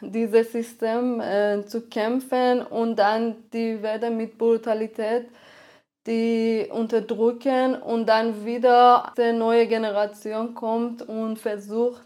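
A woman's voice: fairly high pitch, little pitch movement, takes it slowly, a little mellow. A woman in her thirties from Iran with a Persian accent, speaking German as a second language and answering interview questions with frequent hesitations and restarts. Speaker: guest, filmmaker. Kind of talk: podcast interview.

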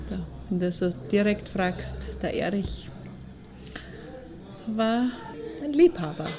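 An actress performs a coy, nostalgic Austrian woman in an audio drama. She speaks slowly in a creaky, lowish voice, hesitating and leaving sentences open, with people chattering around her. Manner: hesitant, trailing off, breaking off sentences. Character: coy, nostalgic woman recalling a former lover. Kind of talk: intimate anecdotal monologue in an audio drama.

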